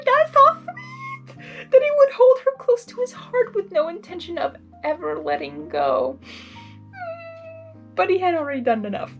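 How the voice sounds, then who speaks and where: A woman reading a story aloud as a host, with an excitable, gushing, expressive delivery. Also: extremely high pitch. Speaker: fan fiction reader and channel host.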